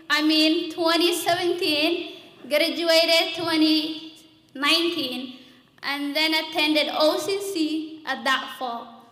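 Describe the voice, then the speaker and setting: A young woman from Kenya speaks in a high, bright voice. She gives an acceptance speech slowly, in simple halting phrases. The hall echoes around her. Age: young adult. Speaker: award winner, English teacher. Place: large room at a live event. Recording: echoey hall, long reverb.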